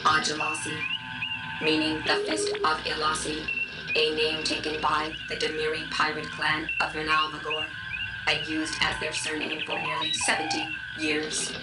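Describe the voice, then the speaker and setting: A woman playing an impassive female computer from a sci-fi game, low and utterly flat. She reads out records with formal detachment. The voice sounds distant and echoey under very loud music. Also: normal speed, natural voice. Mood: flat, informational. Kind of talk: scripted database narration.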